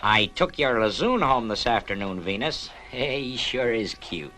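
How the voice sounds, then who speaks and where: An actor playing an exasperated, brusque space base commander in a 1960s puppet series. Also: male voice, medium pitch, animated, a little slow, pushed and bright. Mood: friendly.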